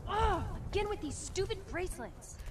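A woman's voice: extremely high pitch, very animated, normal speed, a little hiss or hum. An actress playing a brash, sarcastic, foul-mouthed young woman in a horror video game, with blunt, sardonic delivery. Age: young adult. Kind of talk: video game drama acting.

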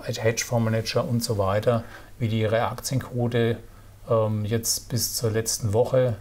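A middle-aged man giving casual stock market commentary to camera, relaxed and halting, with many fillers and restarts. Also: mid-range voice. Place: indoor studio with virtual backdrop.

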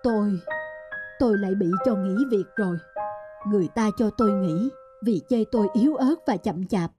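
A woman's voice: mid-range voice, moderate pace, music in the background, close to an everyday voice. A woman voicing a meek, self-doubting young husband in a folk tale cartoon. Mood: dejected.

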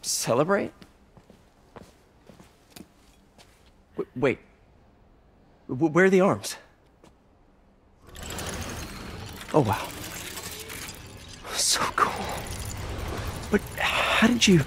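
A man's voice: a little high, big pitch swings, slow pace, pauses often, a bit bright, slightly rough, full character voice. An actor playing an earnest, caring young male lab scientist, speaking naturally and hesitantly. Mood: surprised. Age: young adult.

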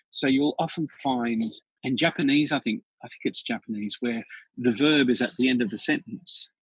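Aussie guy on a podcast, kinda husky, tells stories all over the place, dry dull sound. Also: medium pitch, no echo. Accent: Australian. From Australia. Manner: chatty storyteller, animated, frequent restarts. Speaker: podcast guest. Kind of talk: casual interview chat.

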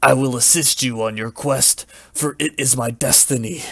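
A man voicing a brash, hot-headed male dark knight who snarls and shouts in slangy outbursts. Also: mid-range voice, steady tone, normal speed, creaky, dry recording, studio quality. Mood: solemn.

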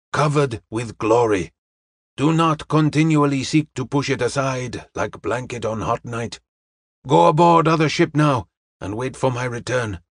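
A man's voice: mid-range voice, slightly rough, no echo, studio quality. A man playing a courteous, wise Chinese detective in an audiobook, speaking formal, stilted English with dropped articles.

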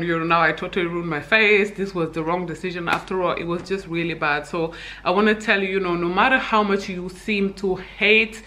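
A young woman from Senegal talking candidly to camera in a personal vlog, chatty, with frequent fillers and light laughs, recorded indoors in a bright living room. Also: on the low side. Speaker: vlogger.

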